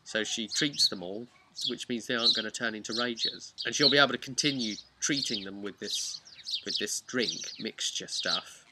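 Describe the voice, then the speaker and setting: A man casually retelling a tabletop role-play story in a halting, chatty way with wry asides. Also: on the low side. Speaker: host of a tabletop role-play campaign recap. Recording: clear background noise with birdsong.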